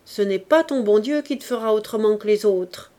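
A woman voicing a haughty young maid with a sharp tongue. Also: medium pitch, normal speed, lightly acted. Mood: scolding. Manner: scolding, emphatic.

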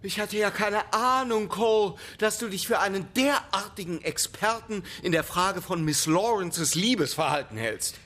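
An actor playing an imperious, sarcastic playwright with biting mock politeness in a German radio play. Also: male voice, sits high, animated, normal speed, few pauses, pushed and bright, exaggerated voice. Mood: sarcastic indignation. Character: imperious, sarcastic male playwright with theatrical temper.

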